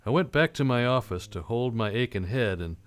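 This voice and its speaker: An actor playing a wry, weary private detective in an old-time radio drama, dry and terse. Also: male voice, medium pitch, lively intonation, fairly quick, smooth, close to an everyday voice. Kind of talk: old-time radio drama, first-person noir narration.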